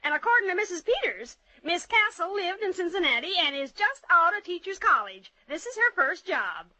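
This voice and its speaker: A woman's voice: extremely high pitch, big pitch swings, moderate pace, bright, forceful tone, no echo, heavily acted. An actress playing a vain, gossipy, easily offended small-town woman in her forties in an old-time radio comedy. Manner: folksy, indignant, self-important. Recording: vintage radio recording.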